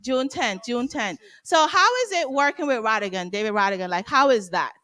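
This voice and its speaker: A woman's voice: fairly high pitch, animated, brisk, sharp tone, no echo, studio quality. A woman from Guyana hosting a live stream interview, chatty and giggly, with many "like" fillers. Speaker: host, interviewer.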